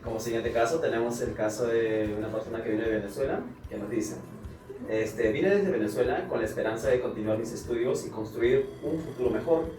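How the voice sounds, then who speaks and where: A man's voice: medium pitch, fairly quick. Young man reading, off-mic. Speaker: student reading a case study. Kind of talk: reading aloud. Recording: distant echoey room with music.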